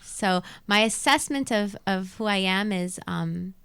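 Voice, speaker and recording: A woman's voice: medium pitch, unhurried, gravelly, fairly bright tone, clear, resonant tone. A woman from Montreal whose first language is Arabic, chatting reflectively in a casual interview and repeating phrases for emphasis. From Montreal, Canada. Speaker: radio show host being interviewed. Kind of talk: casual live interview chat. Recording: music playing behind the voice.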